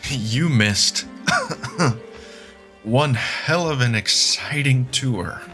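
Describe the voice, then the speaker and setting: A man playing a wry, injured survivor in an audio drama, halting and sardonic. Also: mid-range voice, sharp tone, faint music behind. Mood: pained, wry. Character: wry injured man, groaning but quipping.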